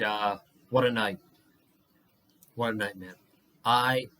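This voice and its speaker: A young man with a hoarse, worn-out voice, reviewing wrestling on his own vlog. He talks at an unhurried, drawn-out pace, rambling and swearing freely, and sounds tired. His pitch sits in the middle for a man. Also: a bit bright. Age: young adult. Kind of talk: casual solo review vlog.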